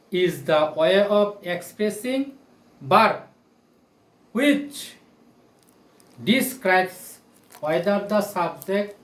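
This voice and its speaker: A male teacher in his forties gives a grammar lesson at a slow, emphatic pace. He speaks deliberately, as if to a class, repeating himself and posing questions. The sound is echoey, picked up by a camera in a small bare room. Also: high voice. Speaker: English teacher. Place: small indoor room beside a whiteboard. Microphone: phone or camera a short distance away.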